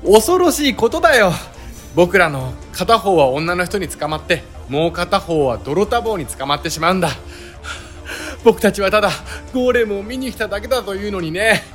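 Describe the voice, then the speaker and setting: A man's voice: sits high, expressive, normal speed, a bit bright, soft background music. A man playing an earnest, panicky young sightseer in a comedic radio drama, speaking in wordy, melodramatic lines. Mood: grave dread.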